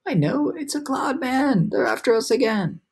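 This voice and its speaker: A melodramatic lady spider from a storybook, played by a woman reader speaks in a raised, breathless voice. The tone is husky and lively. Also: high voice, normal speed, pitched above the performer's own voice, full character voice. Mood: dramatic. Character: tearful motherly female spider, dramatic.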